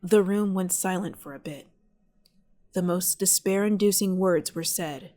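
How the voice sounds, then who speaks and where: A woman narrating an audio drama as a measured, solemn storyteller. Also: lowish voice, warm tone, close to an everyday voice.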